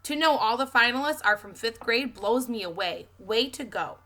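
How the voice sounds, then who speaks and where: A woman voicing a proud male schoolteacher with a formal, deliberate, dry manner, reading from a storybook. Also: on the high side, animated, sharp tone, voice pushed up.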